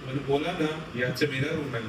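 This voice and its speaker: A man's voice: medium pitch, fairly even intonation, rapid-fire, reverberant, background noise, lightly acted. A young man playing a bossy college senior. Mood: bullying. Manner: rude, domineering.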